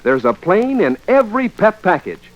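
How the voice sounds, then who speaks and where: Animated male radio pitchman, dull old recording. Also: normal speed. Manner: chummy, enthusiastic, addressing children. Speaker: commercial announcer. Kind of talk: folksy 1940s radio advertising pitch.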